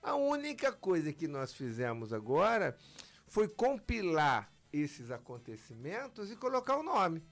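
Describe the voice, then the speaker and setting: Doctor guest, lively and emphatic, gravelly voice, soft music underneath. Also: male voice, fairly high pitch, unhurried. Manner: lively, emphatic, acts out patients' lines. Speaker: physician guest. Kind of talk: explanatory interview talk.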